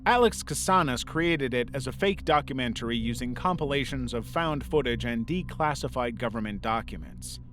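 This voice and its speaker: A man's voice: mid-range voice, lively intonation, normal speed, hardly any pauses, a bit bright. A man reading a scripted narration in the role of a video essay narrator. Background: background music.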